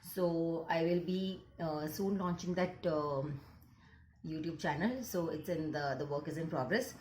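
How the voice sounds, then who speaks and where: A woman's voice: sits low, measured pace, darkish tone, echoey room, a little hiss or hum, low-quality recording. A woman counseling psychologist with an Indian accent, Gujarati her first language, talking earnestly to camera and slipping into Hindi mid-sentence. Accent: Indian English. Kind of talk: casual talk to camera.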